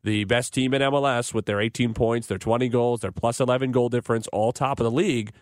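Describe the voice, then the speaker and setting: An American man hosting sports talk on the radio in a conversational, measured and analytical way, with fairly wordy explanations. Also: mid-range voice, steady tone, no echo, clean studio sound. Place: dry radio studio.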